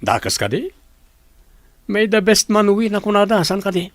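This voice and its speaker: A man's voice: sits high, big pitch swings, moderate pace, no room sound. A man acting an earnest company boss in a radio drama. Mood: confident.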